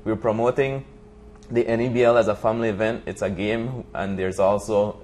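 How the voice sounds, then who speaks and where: A man's voice: lowish voice, fairly even intonation, normal speed, darkish tone, slight background noise. A man answering as a guest in a studio interview, searching for words as he goes. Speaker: basketball league official, interview guest. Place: TV studio set, indoors. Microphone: lapel.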